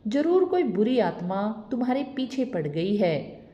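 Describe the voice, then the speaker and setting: A woman's voice: a little high, sing-song, unhurried, mellow, lo-fi sound. A woman voicing a stern old male guru who scolds his disciple. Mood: scolding. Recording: echoey reverberant room.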